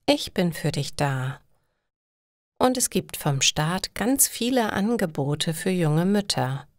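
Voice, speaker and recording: A woman voicing a caring, practical mother who talks gently and encouragingly in an audio story for language learners. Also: lowish voice, sing-song, takes it slowly, no room sound, clean studio sound. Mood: reassuring. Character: caring, practical, reassuring middle-aged mother. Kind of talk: acted dialogue in a slow learner's audio story.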